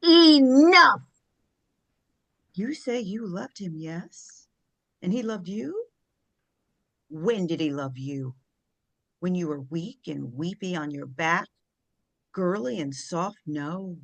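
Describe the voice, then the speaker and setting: A woman's voice: lowish voice, expressive, takes it slowly, pauses often, a bit bright, no room sound, full character voice. A woman at a script table read, playing an imperious, sarcastic woman who takes command. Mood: fierce, commanding.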